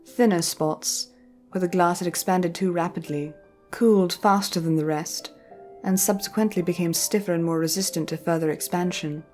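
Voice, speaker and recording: A woman storyteller reading scripted fiction narration in a measured, careful way. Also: on the low side, steady tone, darkish tone, faint music behind.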